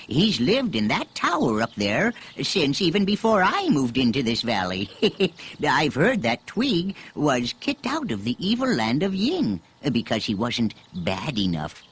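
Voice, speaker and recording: A kindly old eccentric, fairly high and husky. He speaks slowly and hesitantly, his pitch swooping up and down, in children's storybook style. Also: wildly swooping pitch, exaggerated voice. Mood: bemused. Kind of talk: children's audio storybook acting.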